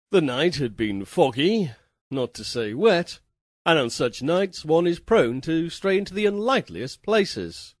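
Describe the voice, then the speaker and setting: A man reading a literary fantasy tale as dramatic narration, with a theatrical, deliberate and wryly formal delivery. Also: a little high, no echo, studio quality. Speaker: audiobook reader.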